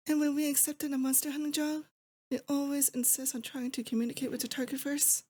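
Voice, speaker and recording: A mellow, dark-toned demon queen, acted by a woman, fairly high in pitch and very even in melody. She speaks intimately and unhurriedly in an ASMR roleplay, as a doting, wistful captor to her sweetheart. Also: normal speed, close to an everyday voice. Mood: teasing, fond. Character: possessive, nostalgic young demon lord woman, affectionate captor. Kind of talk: roleplay ASMR, intimate scripted monologue to the listener.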